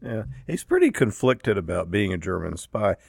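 A man's voice: fairly low pitch, sing-song, normal speed, creaky, a little mellow. A man hosting a panel conversation, asking questions in a casual, hesitant way. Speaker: interviewer.

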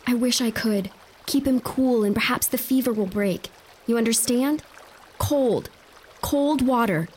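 An actress playing a stubborn, sharp-tongued noble wife with a blunt, irritable manner. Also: female voice, fairly high pitch, a little slow, a little hiss or hum, natural voice. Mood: exasperated.

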